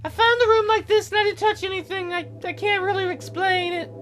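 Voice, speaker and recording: A man voicing a grieving, tearful woman in a plaintive, halting falsetto for a visual novel game. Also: steady tone, sharp tone. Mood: tearful, anxious. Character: grieving, tearful wife answering a detective.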